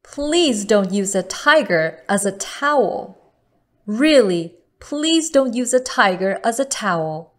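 A woman storyteller reading a picture book aloud to children in a deliberate, expressive manner. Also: mid-range voice.

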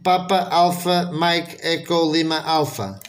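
Slow, high-pitched Portuguese man on radio. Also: steady tone, heavy background noise. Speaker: amateur radio operator. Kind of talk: radio contact exchange with phonetic spelling.

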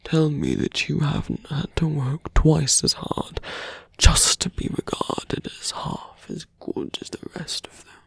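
A man voicing a seductive, sardonic fantasy villain in an intimate, breathy ASMR roleplay, murmuring slowly close to the mic. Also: a little high, wildly swooping pitch, no echo, full character voice. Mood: seductive, coaxing. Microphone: close microphone, dry.

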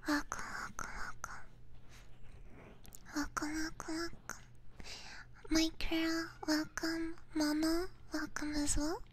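A woman hosting a live ASMR stream, chatting casually in a soft, mostly whispered voice close to the microphone. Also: high voice, completely flat delivery, slow pace, pauses often, slight background noise. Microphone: close binaural ASMR microphone.

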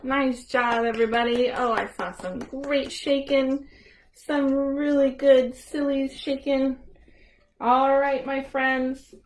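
A woman's voice: high voice, steady tone, takes it slowly, low-quality recording. An American woman hosting a storytime for young children as a librarian, playful and encouraging, speaking in simple phrases.

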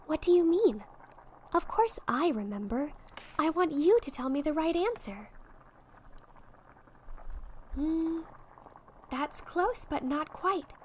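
A woman acts out a sweet young witch tutoring a friend in an ASMR roleplay. Her voice is high and unhurried, gentle and full of encouragement. Faint ambient noise sits behind her. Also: lively intonation. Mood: patient, instructive. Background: soft potion bubbling and fizzing effects.

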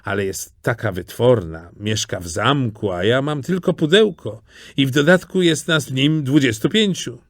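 A man's voice: mid-range voice, expressive, moderate pace, dry recording, clean studio sound, lightly acted. Man voicing a wistful, lovestruck tin toy soldier. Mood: wistful, resolved.

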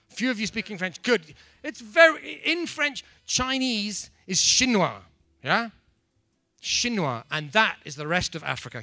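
A middle-aged man with an English accent giving a playful, animated comedy talk to a room on a handheld mic. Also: high voice, no echo. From England. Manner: animated, playful, mock-apologetic. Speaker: speaker. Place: conference room.

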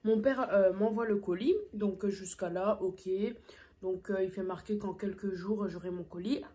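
A woman's voice: mid-range voice, steady tone, normal speed, dark tone. A young woman telling a story casually while eating on camera, chatting with lots of hesitant fillers, in a small room close to the camera. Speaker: food vlogger.

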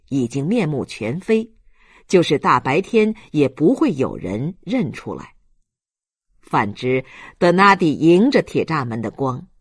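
A man reading a novel aloud as a dramatic, deliberate audiobook narrator. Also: on the high side, husky, no echo, clean studio sound.

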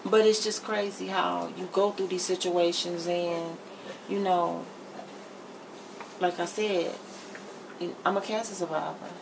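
A middle-aged woman vlogger with a lowish, gravelly voice talks bluntly and emphatically to camera. She is recorded in a home kitchen on a camera mic, with some background noise. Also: fairly even intonation, takes it slowly, long pauses. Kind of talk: candid chit-chat to camera while cooking.

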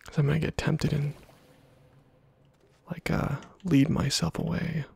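A man giving casual, playful ASMR game commentary in a hushed, breathy half-whisper, muttering to himself close to the microphone. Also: on the high side, flat delivery, measured pace. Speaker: gaming video host.

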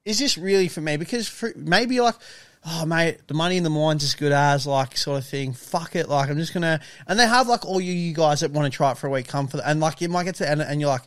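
A man's voice: a little high, fast, fairly bright tone, no echo. A young Australian man co-hosting a casual podcast, rambling and acting out quoted voices, close on a studio mic in a living room. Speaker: podcast co-host. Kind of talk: casual chat. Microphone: studio mic on boom arm.

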